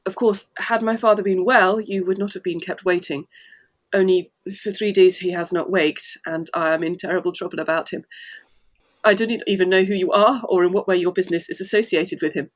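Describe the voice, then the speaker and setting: An actress playing an anxious, well-bred daughter in an audio drama, husky, polite and formal. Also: female voice, fairly low pitch, fairly even intonation, a little fast, close to an everyday voice. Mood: apologetic, troubled.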